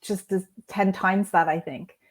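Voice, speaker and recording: A woman's voice: on the low side, fairly even intonation, unhurried, breathy edge. A woman co-hosting a casual writing chat, easygoing and affirming, wrapping up with friendly calls to the audience. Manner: easygoing, affirming, brief agreeing remarks. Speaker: podcast co-host. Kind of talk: casual conversation about writing.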